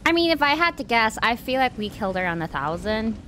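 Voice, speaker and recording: A woman's voice: a little high, big pitch swings, fast, bright, forceful tone, a little hiss or hum, poor audio. A woman from the Philippines, giving chatty, giggly reaction commentary while playing a video game. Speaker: gaming streamer. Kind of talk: let's play reaction commentary. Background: game music.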